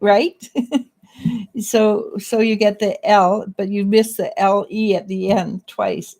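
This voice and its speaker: Slow, gravelly-voiced woman reader. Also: mid-range voice, steady tone, a little mellow. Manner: halting, searching for words with many restarts. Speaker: psychic reader. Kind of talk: casual spiritual reading and chat.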